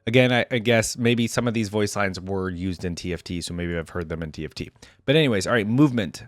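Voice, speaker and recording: A man's voice: on the low side, quick pace, a little hiss or hum. A man hosting a reaction video, commenting in a conversational, enthusiastic way and reading aloud as he goes. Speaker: host of a game lore reaction channel.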